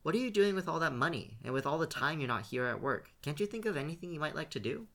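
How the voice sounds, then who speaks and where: A man's voice: a little high, sing-song, fast, fairly bright tone, pitched above the performer's own voice. A man doing an impatient teenage boy. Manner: impatient, pressing questions. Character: irritated, worried teenage boy.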